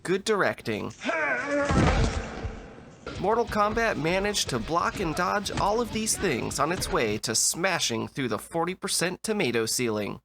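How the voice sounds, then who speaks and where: A man delivering scripted comedic video essay narration in a deadpan, sarcastic way. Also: fairly high pitch, a little slow, vocal fry, over loud music.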